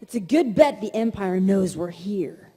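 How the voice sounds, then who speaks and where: High-pitched, cocky space hero. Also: male voice, animated, unhurried, dark tone. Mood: wary. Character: brisk, cocky male space-adventure hero in a parody. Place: live stage reading at a convention hall. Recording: echoey convention hall reverb.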